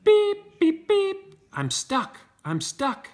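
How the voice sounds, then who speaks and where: A small, friendly truck from a children's storybook, put on by a male reader. The voice leaps very high into eager beeps. It sounds little and keen. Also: wildly swooping pitch, extremely slow, exaggerated voice. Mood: urgent.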